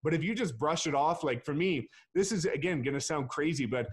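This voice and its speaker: A man's voice: on the high side, fairly quick, breathy edge. Blunt, emphatic man talking candidly. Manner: blunt, emphatic, swears freely. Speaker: real estate agent guest. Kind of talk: casual interview chat.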